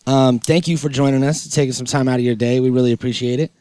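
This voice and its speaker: A man's voice: medium pitch, fairly even intonation, quick pace, dark tone, clear, resonant tone, no echo. A man hosting a podcast, chatting in a rambling, slangy and enthusiastic way. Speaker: podcast host. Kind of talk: casual podcast interview chat.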